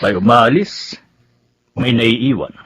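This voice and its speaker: A man's voice: medium pitch, takes it slowly, a bit bright, breathy edge. A man acting a concerned, philosophical family man in a Filipino radio drama, earnest and resigned.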